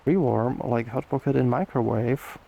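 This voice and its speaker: A man's voice: mid-range voice, moderate pace, vocal fry, warm tone, lo-fi sound. A tired young man hosting a casual livestream Q&A, rambling with lots of fillers and restarts. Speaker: host answering viewer questions.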